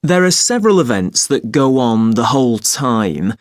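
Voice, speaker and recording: A man playing a patient, polite festival information officer in an acted listening exercise, giving details in a measured, helpful way. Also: medium pitch, sing-song, no room sound, studio quality, close to an everyday voice. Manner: measured, helpful, spells words out slowly. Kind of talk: acted listening-test dialogue, clearly enunciated.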